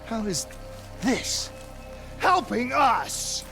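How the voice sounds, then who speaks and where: A man's voice: very high voice, big pitch swings, drawn-out words, vocal fry, heavily acted. An actor playing a determined lone soldier in a video game, grave and emphatic as he confronts a corrupted comrade. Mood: accusing. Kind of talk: dramatic video game acting. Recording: loud music over the voice.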